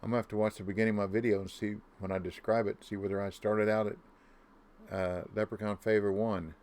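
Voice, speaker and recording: Low-voiced man humming over his game. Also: level tone, quick pace, darkish tone. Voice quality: deep voice. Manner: muttering to himself, humming nonsense tunes. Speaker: gaming YouTuber. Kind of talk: casual gameplay commentary.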